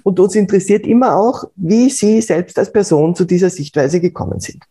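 A woman hosting a business podcast, asking formal, measured questions. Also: lowish voice, mellow, slightly rough. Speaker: podcast host. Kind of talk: interview.

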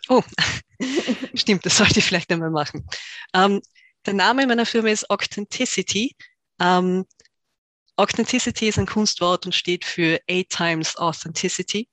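A woman's voice: medium pitch, a little slow, long pauses, fairly bright tone, no room sound. A woman from Tyrol, a startup founder talking as a podcast guest, thoughtful, with many fillers and restarts. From Tyrol, Austria. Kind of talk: podcast interview.

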